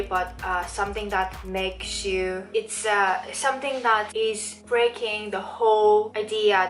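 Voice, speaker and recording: A woman from South Korea with a Korean accent, chatting casually as she reviews books to camera, often circling back to rephrase. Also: medium pitch. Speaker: video blogger. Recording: roomy echo, distant mic, faint music.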